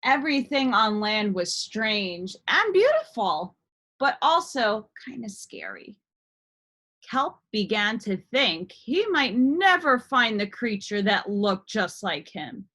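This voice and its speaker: A woman storyteller reading a picture book aloud in an expressive, deliberate style with dramatic emphasis. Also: mid-range voice. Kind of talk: expressive children's read-aloud narration.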